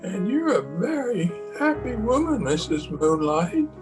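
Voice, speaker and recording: An actor playing a feeble, forgetful old husband in a radio play, halting and repeating his words, tender and querulous. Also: high voice, sing-song. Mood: tender, happy. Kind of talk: old-time radio drama acting. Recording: home video call with music.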